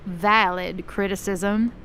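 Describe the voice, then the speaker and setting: A woman's voice: mid-range voice, steady tone, extremely slow, fairly bright tone, a little hiss or hum. A woman playing an embittered, defiant demon of hell in an audio drama, speaking with passion and slangy sarcasm. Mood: cocky, taunting. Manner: impassioned, sarcastic, casual slangy speech. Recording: prominent music under the voice.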